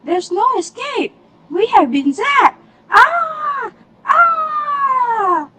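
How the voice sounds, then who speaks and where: A woman voicing a tiny, helpless mosquito in an amateur puppet show with drawn-out squeals. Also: very high-pitched, sing-song, bright, forceful tone, exaggerated voice. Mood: terrified.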